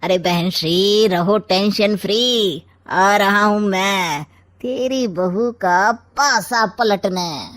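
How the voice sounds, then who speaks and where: A woman's voice: medium pitch, extremely slow, a bit bright. A woman voicing a sly, sarcastic, scheming older uncle in a comic family story, with a theatrical drawl. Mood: sly, teasing. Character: scheming, sarcastic maternal uncle, middle-aged or older man.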